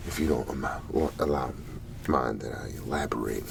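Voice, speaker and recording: Male performer as government negotiator, ASMR roleplay. Extremely low, gravelly murmur, hesitant and placating. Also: noisy background, exaggerated voice. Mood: hesitant, diplomatic. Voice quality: soft, breathy half-whisper with gravelly low murmur. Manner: halting, deliberate, reassuring, with long pauses.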